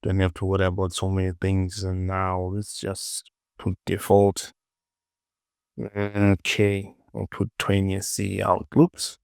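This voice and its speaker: A man casually presenting a software tutorial, talking to himself as he works and repeating short phrases. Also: low voice, completely flat delivery, slow, gravelly, clean tone, no echo, clean studio sound.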